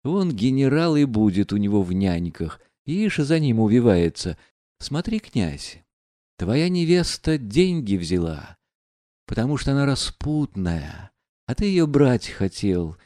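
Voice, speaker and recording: A man's voice: medium pitch, very animated, a little slow, dark tone, dry recording, clean studio sound. A man reading aloud and voicing a proud, reckless young woman who speaks in a bitter, mocking, defiant way.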